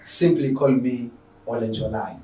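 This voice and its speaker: A man's voice: mid-range voice, measured pace, mellow, slight background noise. Echoey, distant Tanzanian male teacher. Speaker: online secondary school mathematics teacher. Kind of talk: spoken lesson introduction to viewers. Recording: echoey room, distant microphone.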